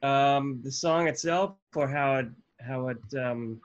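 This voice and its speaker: A man's voice: mid-range voice, takes it slowly, no room sound. Hesitant man, dull dry recording. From New Jersey. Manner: hesitant, many ums. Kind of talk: casual banter.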